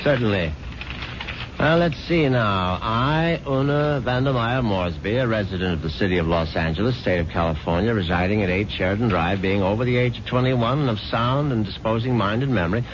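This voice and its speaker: An actor playing a scheming, charming husband who also narrates, with a glib, wry manner, in a 1970s radio drama. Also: male voice, lowish voice, a little fast, natural voice. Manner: glib and wry, with a lively, swinging delivery. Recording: muffled old radio broadcast with clear hiss.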